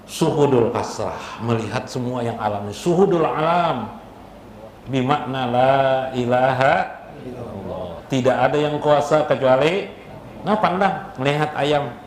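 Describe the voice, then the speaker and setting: A man's voice: medium pitch, expressive, a little slow. Banjar man preaching in an echoey room. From South Kalimantan, Indonesia. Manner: conversational, jocular, punctuated with rhetorical questions and tag words. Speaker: Islamic preacher. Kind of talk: informal sermon with jokes, mixing local speech and Arabic phrases. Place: indoor religious gathering. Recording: echoey room with faint background noise.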